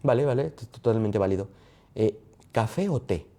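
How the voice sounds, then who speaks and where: A man hosting an interview podcast, firing off quick either-or questions to his guest. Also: lowish voice, expressive, mellow. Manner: quick rapid-fire questions. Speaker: podcast host.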